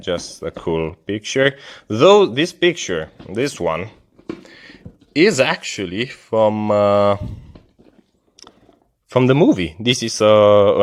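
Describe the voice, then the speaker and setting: A low-pitched man with an Italian accent talks through a review in a casual, unscripted way. His English is that of a native Italian speaker, hesitant and halting. He comes across as an apologetic, informal video host. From Italy.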